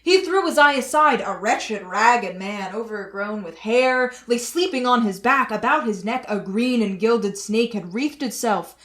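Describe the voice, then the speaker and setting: A woman acting a repentant, courtly nobleman in a Shakespearean radio play, telling his tale in a dramatic, deliberate way. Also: on the high side, expressive, a bit bright. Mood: suspenseful. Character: repentant courtly nobleman, elder brother, solemn storyteller.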